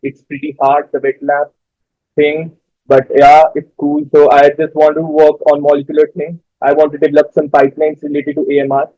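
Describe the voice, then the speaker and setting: A man's voice: on the high side, completely flat delivery, fairly quick, no room sound, muffled recording. A young man introducing himself to a workshop group, hesitant and full of fillers. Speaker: workshop participant. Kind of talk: self-introduction.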